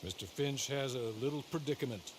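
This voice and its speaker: An actor playing a businesslike American frontier go-between in a western video game, folksy and measured. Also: male voice, medium pitch, noisy background, natural voice. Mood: measured, persuasive. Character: businesslike frontier male go-between for a crime boss. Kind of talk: video game cutscene acting.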